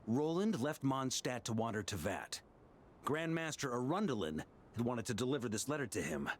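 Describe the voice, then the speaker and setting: A man's voice: medium pitch, very animated, normal speed, slight background noise, close to an everyday voice. A man playing an easygoing, impulsive knight commander in an anime fantasy game, speaking in a breezy, musing way over music. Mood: solemn.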